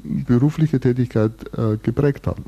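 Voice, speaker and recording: A man's voice: mid-range voice, moderate pace, dark tone, breathy edge, lo-fi sound. A man in his sixties from Bavaria, telling his life story at length in a radio interview, thoughtful with dry humor and frequent restarts. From Bavaria, Germany. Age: 60s.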